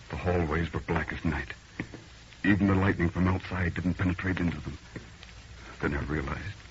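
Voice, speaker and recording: A man's voice: sits low, close to an everyday voice. An actor playing a dazed, uneasy city man telling an eerie tale in old radio drama style, earnest and haunted. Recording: muffled old radio recording, faint noise.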